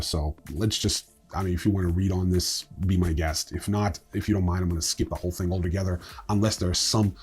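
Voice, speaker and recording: A man's voice: low voice, a little mellow, breathy edge. A man hosting a news show, reading scripted reports in an informal way with casual asides. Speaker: host of a crypto news show.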